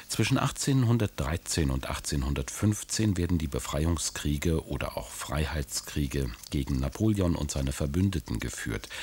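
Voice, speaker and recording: A man giving measured, documentary-style scripted narration on history for a radio drama. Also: very deep voice, darkish tone, husky.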